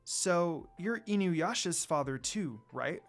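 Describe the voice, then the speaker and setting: A man's voice: fairly high pitch, lively intonation, slow pace, soft background music. A man voicing a curious, kind young priestess with an earnest, questioning manner in a fan fiction reading.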